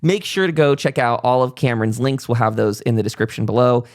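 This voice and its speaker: A man's voice: medium pitch, a little fast. A man with a mid-range voice hosts a casual chat show. He sounds enthusiastic and folksy, wandering from one thought to the next in a chatty way. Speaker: podcast host.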